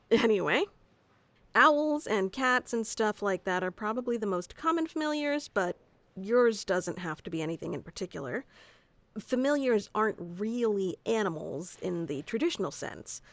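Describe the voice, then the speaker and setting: A woman's voice: mid-range voice, animated, measured pace, dry recording. A woman playing a bossy, geeky tsundere wizard teacher who explains things informally and smugly in an ASMR roleplay. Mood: casual, instructive. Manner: informal, smug, flustered asides, explanatory. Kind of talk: scripted ASMR roleplay audio addressed to the listener.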